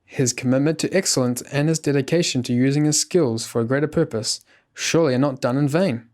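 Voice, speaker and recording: A man's voice: medium pitch, a little fast, darkish tone. A man reading scripted narration as the host of a podcast.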